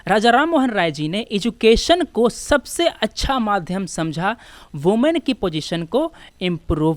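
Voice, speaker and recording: A woman's voice: mid-range voice, no echo. A woman with an Indian accent teaching an exam prep lesson, switching between Hindi and English and often asking questions that she answers herself. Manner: didactic, asks and answers own questions. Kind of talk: instructional lecture walking through exam questions.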